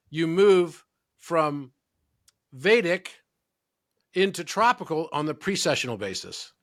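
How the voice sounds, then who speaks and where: A man's voice: a little high, fairly bright tone. A man hosting a podcast, explaining ideas in a lecturing way with emphatic quoted asides. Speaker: podcast host. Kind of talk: casual conversational interview.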